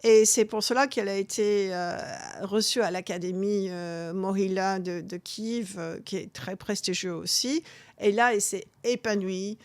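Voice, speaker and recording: A middle-aged woman, in a mid-pitched voice with many pauses and restarts. The close, dry mic gives her a plain, intimate sound. She talks as a guest in a conversational interview. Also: darkish tone, no room sound. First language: English. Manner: halting, many restarts and fillers. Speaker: guest author.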